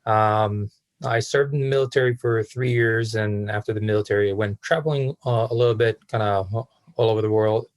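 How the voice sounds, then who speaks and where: An Israeli-accented man in his thirties talks as an interview guest in a lowish, fairly flat voice. He speaks casually, in short halting phrases broken by frequent pauses. The sound is dry and close, with no room echo. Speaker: podcast guest, real estate entrepreneur.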